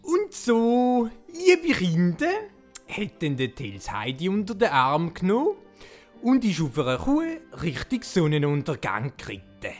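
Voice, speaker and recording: A woman's voice: low voice, a little mellow. A woman with a Swiss German accent narrating playfully, like a fairy tale told to children. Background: music.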